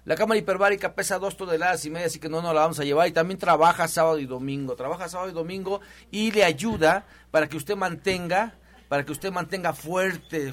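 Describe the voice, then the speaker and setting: A man's voice: a little high, fairly bright tone. A man hosting a promotional health talk, speaking loosely with many repeats and fillers. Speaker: host of a health show.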